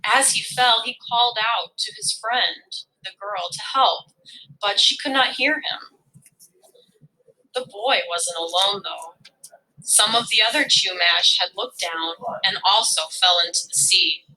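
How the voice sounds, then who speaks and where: A woman reading a children's story aloud as a storyteller, with expressive, dramatic and deliberate phrasing. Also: medium pitch, low-quality recording.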